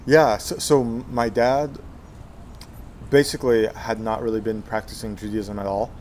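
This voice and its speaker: A man in his twenties from Texas, telling his life story in an interview, halting with many fillers and restarts. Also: medium pitch, level tone, a little mellow, a little hiss or hum. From Texas, USA. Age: 20s. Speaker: guest, Zen monk.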